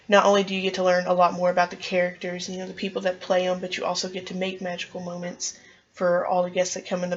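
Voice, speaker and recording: A woman's voice: fairly low pitch, dull audio. A young woman chatting eagerly and casually as an applicant answering questions in a job interview. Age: young adult.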